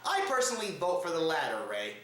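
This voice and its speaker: Animated man hosting, in a very echoey room. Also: high-pitched, fast talker. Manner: theatrical, exaggerated showman delivery. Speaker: host of a comedic news show. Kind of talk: comedic news commentary to camera. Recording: roomy, echo-heavy home recording.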